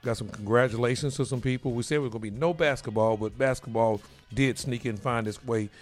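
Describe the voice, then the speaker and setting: A man's voice: medium pitch, fast, faint music behind. A middle-aged man hosts a sports talk show in the studio. His voice sits in an ordinary middle range, and he rambles, circling back and repeating words as he talks.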